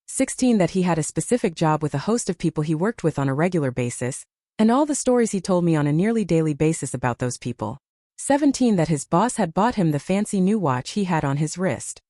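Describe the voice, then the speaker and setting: A woman's voice: fairly low pitch, sing-song, a little fast, warm tone, no room sound, studio quality. A woman narrating a reddit story aloud, reading out a long numbered list and lifting her voice on each number.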